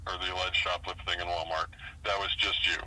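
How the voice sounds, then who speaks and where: A man speaking as a police sergeant on a phone call, procedural and halting, repeating and checking details. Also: sits low, flat delivery, bright, forceful tone. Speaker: police sergeant handling a complaint. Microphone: recorded phone call. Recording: noisy telephone line.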